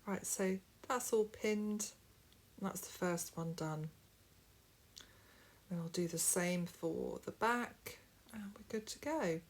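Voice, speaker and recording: A woman's voice: medium pitch, slow, pauses often. A middle-aged woman, a bit sniffly from hay fever, chatting her way step by step through a home sewing tutorial, recorded on a camera mic. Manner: friendly, rambling, explains step by step. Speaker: sewing vlogger.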